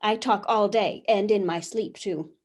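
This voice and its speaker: A woman's voice: mid-range voice, fairly even intonation, a little slow, a little mellow, natural voice. A woman playing eve, newly made first woman, super curious and talky, reads her diary like she's wondering at everything. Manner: wondering, chatty storytelling diary voice. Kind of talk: stage reading of a comic play. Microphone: video call.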